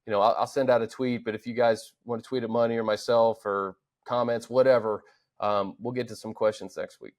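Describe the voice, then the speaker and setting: American male, mid-range pitch, sports podcast host, informal conversational delivery. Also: level tone, brisk, a little mellow. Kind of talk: casual sports chat.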